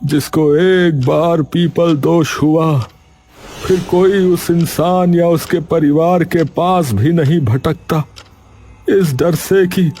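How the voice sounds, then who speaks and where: A man plays a bitter older villager in an audio story, worn down by grief. He talks extremely slowly and haltingly, with a gravelly creak in a fairly high-set male voice. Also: fairly even intonation, dark tone, slight background noise. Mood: bitter, hopeless. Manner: halting, heavy, despairing delivery. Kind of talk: acted Hindi audio story dialogue.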